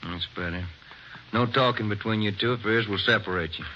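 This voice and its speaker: A brisk, polite police detective. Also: male voice, lowish voice, bright, forceful tone, slight background noise. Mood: firm. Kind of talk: 1940s radio acting. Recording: dull old radio broadcast.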